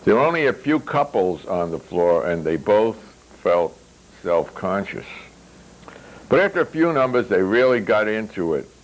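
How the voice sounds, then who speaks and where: A man reading a novel aloud as its author, with wry, deliberate phrasing and hesitant asides. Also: on the low side, sing-song, rough recording. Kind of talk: literary reading with spoken asides.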